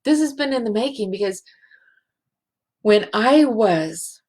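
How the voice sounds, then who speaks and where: A woman hosting a spiritual show, speaking in a halting, emotional way and close to tears. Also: a little high, animated, a little mellow. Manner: slow, halting and emotional, many restarts. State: teary. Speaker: show host, healing facilitator. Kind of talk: heartfelt spiritual show monologue.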